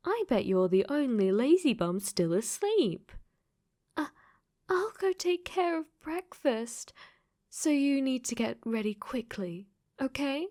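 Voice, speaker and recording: A woman voicing a clingy, possessive AI dating-sim girl in a soft, coaxing, teasing ASMR roleplay that drops into whispers. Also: fairly high pitch. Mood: bossy, tsundere.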